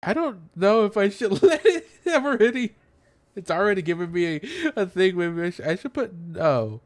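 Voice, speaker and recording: A man's voice: sits high, expressive, normal speed, dry recording. A man doing casual let's play commentary, explaining things in a hesitant, rambling way into a close mic. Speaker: gaming content creator.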